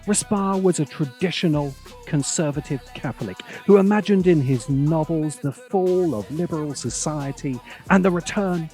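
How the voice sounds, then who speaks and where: A man narrating a scripted video essay in a sardonic manner, with dramatic pauses. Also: a little high, dark tone, faint music behind.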